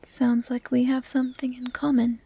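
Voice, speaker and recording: A woman voicing a gentle, curious female dryad who guards the woods, speaking softly and often in a whisper in a roleplay audio drama. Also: sits high, little pitch movement, a little hiss or hum. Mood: soft, earnest. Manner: soft, often whispery, naive and measured. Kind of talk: roleplay audio drama, soft intimate acting.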